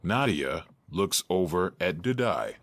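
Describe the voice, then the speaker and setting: Male actor as narrator for audio drama stage directions, deep-voiced and unhurried, scripted delivery. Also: sing-song, no room sound, full character voice. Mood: neutral.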